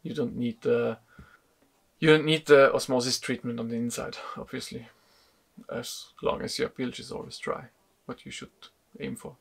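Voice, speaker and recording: A man in his thirties, a sailing vlogger, explaining a repair to camera in a casual, halting way with many fillers, speaking in a wooden boat cabin. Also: mid-range voice, fairly even intonation, gravelly. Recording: music playing behind.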